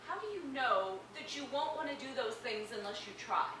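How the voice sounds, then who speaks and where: An earnest, persuasive counsellor. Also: female voice, fairly high pitch, big pitch swings, normal speed, slight background noise. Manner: gently persuasive, professional. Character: earnest woman counsellor visiting a patient. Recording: roomy film sound from a distance.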